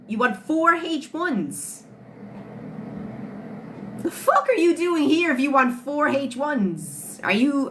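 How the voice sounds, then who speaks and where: A woman in her late twenties with an Irish accent, chatting bluntly with viewers on a livestream and swearing freely. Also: on the high side. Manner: blunt, swears freely, answers chat questions. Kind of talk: casual livestream chat with viewers. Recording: phone livestream with audible background noise.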